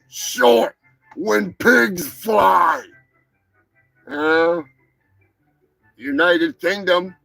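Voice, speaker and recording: A man's voice: high-pitched, vocal fry, bright, forceful tone, low-quality recording. A man hosting a live show, talking over the news in a loose, sarcastic, rambling way with lots of swearing and laughter. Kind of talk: casual profane news commentary.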